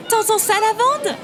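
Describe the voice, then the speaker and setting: A woman's voice: extremely high pitch, very noisy, heavily acted. An actress in an audio drama playing a starstruck, admiring mother with a gushing, reverent manner.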